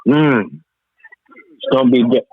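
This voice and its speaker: Husky man on a tinny phone-like line. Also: mid-range voice, measured pace, no echo. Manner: emphatic, repeats phrases for emphasis. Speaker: podcast host. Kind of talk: casual hip-hop debate.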